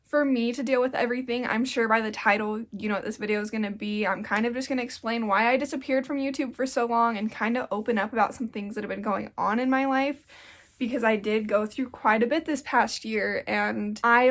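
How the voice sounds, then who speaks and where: A young woman around twenty, a little congested from getting sick, talking earnestly in a casual sit-down vlog and rambling with lots of "like.". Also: fairly high pitch. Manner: earnest, rambling, with frequent "like" fillers. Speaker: YouTuber.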